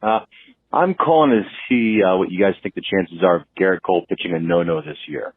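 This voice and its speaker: A man's voice: low voice, brisk, breathy edge, dry recording. A man from Alberta, Canada, leaving a casual fan voicemail over a narrow phone line. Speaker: voicemail caller.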